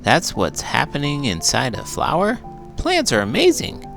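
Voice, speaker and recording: A man voicing an excitable, cheeky little bookworm from a children's storybook, exclaiming in a silly, incredulous way. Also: on the high side, a little slow, gravelly, over music, pitched above the performer's own voice, full character voice. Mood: amazed. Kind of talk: cartoonish children's storybook character voice.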